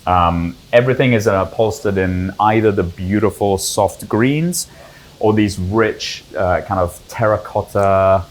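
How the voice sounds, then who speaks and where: A middle-aged man with a very deep voice walks through a room's design. He talks fluently and descriptively as a designer, often reaching for "kind of" and "you know". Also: measured pace, poor audio. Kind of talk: explaining a design on a tour.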